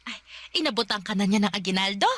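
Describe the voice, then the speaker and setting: A woman's voice: mid-range voice, steady tone, fast talker, a bit bright, lightly acted. An actress playing an elderly, worn but protective Filipino grandmother in a Tagalog radio drama, scolding and caring by turns. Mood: surprised, relieved.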